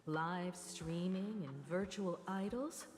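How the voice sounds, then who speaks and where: A woman voicing a calm, wistful mature woman in a video game, measured and reflective. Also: lowish voice. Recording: reverberant game audio with music.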